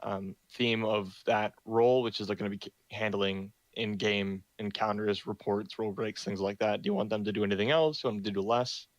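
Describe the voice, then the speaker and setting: Young man, low flat voice, dry close mic, reads server rules over voice chat, lots of ums. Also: fairly quick, few pauses, no room sound, poor audio. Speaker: meeting host for a roleplay community. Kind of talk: reading rules aloud in a voice chat meeting.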